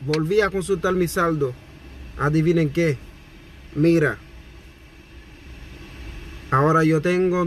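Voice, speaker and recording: Man in his 30s, fired-up phone rant from a parked car, slightly high voice, faint traffic behind. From Haiti. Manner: animated, gesturing, repetitive. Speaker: vlogger. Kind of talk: indignant complaint to camera. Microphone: phone camera at arm's length.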